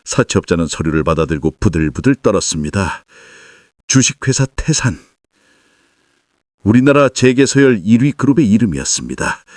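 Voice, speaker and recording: Low male storyteller, dry studio sound. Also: very animated, moderate pace, darkish tone, dry recording. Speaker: audiobook narrator. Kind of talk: dramatic scripted story narration.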